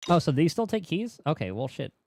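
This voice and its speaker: A man giving casual live commentary as he streams a game, thinking aloud in a halting way. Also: a little high, big pitch swings, a little mellow, dry recording.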